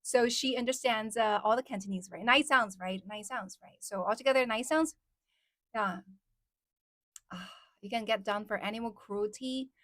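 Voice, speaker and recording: A woman from mainland China, speaking English, hosts a casual live stream and chats with her viewers. Her voice sits a little high. The sound is dry and close, with almost no room echo. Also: moderate pace, fairly bright tone. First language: Mandarin. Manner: chatty, laughs often, answers chat comments.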